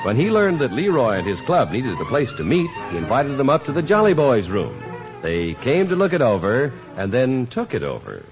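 A man's voice: mid-range voice, wildly swooping pitch, normal speed, music in the background. A man working as a commercial announcer, reading scripted 1950s radio advertising with emphatic, folksy salesmanship. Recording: muffled 1950s radio recording.

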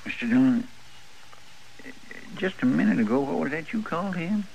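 An actor playing an earnest, curious American frontier deputy in a 1950s radio western, folksy and plain-spoken. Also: male voice, fairly high pitch, lively intonation, moderate pace, creaky, darkish tone, lo-fi sound. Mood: stunned, saddened. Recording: old radio recording with faint noise.

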